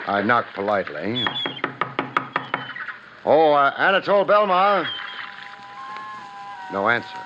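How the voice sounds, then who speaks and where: A man's voice: medium pitch, noisy background. A man playing a wisecracking, laid-back private detective who narrates and acts in a 1950s radio drama, in casual clipped phrases. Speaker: detective lead and first-person narrator. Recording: dull old radio recording.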